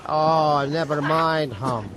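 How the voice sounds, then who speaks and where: A man's voice: a little high, slow, noisy background. An actor playing a hearty, kindly working seaman in a radio drama, bluff in manner. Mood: concerned, easygoing.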